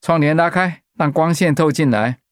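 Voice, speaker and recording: A man's voice: a little high, moderate pace, no room sound, lightly acted. Radio drama friend male actor: supportive, concerned man, dry studio recording. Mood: encouraging, careful.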